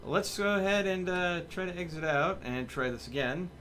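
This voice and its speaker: A man's voice: sits high, animated, normal speed, a bit bright, soft background music. A man hosting a casual game livestream, chatting and thinking aloud in a rambling way. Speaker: livestream host. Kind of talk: casual chat while live streaming a video game.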